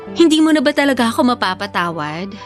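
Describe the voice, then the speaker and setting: A woman playing a young mother back with her old boyfriend, placating yet stubborn, in conversational Filipino radio drama style with a Filipino accent. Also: fairly high pitch.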